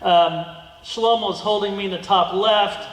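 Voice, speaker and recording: A man's voice: high-pitched, steady tone, measured pace. Middle-aged man lecturing in an echoey hall. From United States. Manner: rambling, many ums and restarts. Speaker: guest speaker. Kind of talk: museum lecture with slides. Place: museum lecture room. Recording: echoey hall with long reverb.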